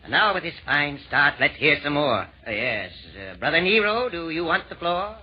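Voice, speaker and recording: An actor playing a pompous devil chairing a wicked meeting in rhyming old-time radio comedy. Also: male voice, fairly high pitch, very animated, moderate pace, muffled recording. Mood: brisk, official. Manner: pompous, theatrical, speaking in rhyme. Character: devilish male chairman of a scheming meeting in hell. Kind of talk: 1930s-40s American radio verse comedy acting.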